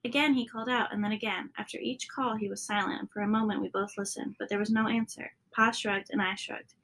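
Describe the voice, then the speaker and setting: Woman with a creaky, husky storytelling voice. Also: mid-range voice, steady tone, a little fast, a bit bright, low-quality recording. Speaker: storybook reader. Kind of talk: read-aloud children's story narration.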